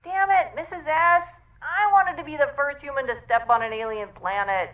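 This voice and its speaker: A woman's voice: high-pitched, moderate pace, phone-quality sound, natural voice. A woman playing a scatterbrained, childlike and self-centred spaceship captain in a sci-fi comedy audio drama. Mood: eager, bossy.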